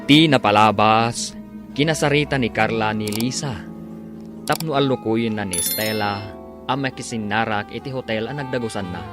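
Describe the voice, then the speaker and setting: A man's voice: on the low side, normal speed. A man with an Ilocano accent narrating a radio drama in an emphatic, theatrical announcer style. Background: music under the voice.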